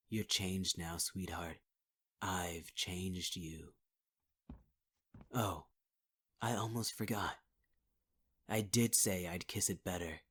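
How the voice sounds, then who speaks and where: Male lead, deep husky voice, slow drawl, cruel taunting villain tone for ASMR roleplay. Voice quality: husky, half-whispered delivery. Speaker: voice actor in audio roleplay.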